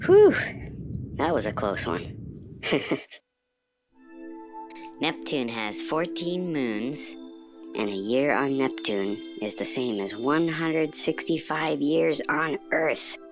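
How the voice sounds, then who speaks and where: A woman voicing a quirky little astronaut student from far away, who guides the listener through a cartoon and keeps breaking into squeaky exclamations. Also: animated, slow, long pauses, exaggerated voice. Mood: cheerful. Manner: chirpy, with sudden squeaky exclamations. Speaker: guide narrator. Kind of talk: animated educational cartoon. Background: music.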